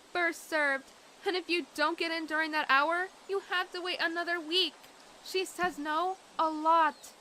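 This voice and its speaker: A woman's voice: extremely high pitch, bright, forceful tone, a little hiss or hum, full character voice. A woman voicing an eager, chatty three-year-old female dragonet in a fan dub.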